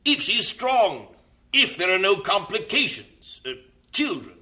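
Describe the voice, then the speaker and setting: An actor playing a stern, fussy older mission director who speaks in clipped, formal phrases. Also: male voice, high voice, huge pitch swings, measured pace, bright, forceful tone, full character voice. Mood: stern, doubtful. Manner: clipped, formal British-sounding phrasing, "don't you know".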